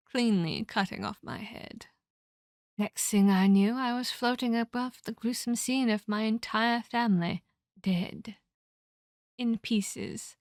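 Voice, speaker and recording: Woman playing a slow, eerie ghost girl. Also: mid-range voice, dry recording, clean studio sound. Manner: slow, deliberate, theatrical storytelling. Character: centuries-old ghost of a murdered girl, eerie, menacing, wistful. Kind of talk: ASMR horror roleplay monologue.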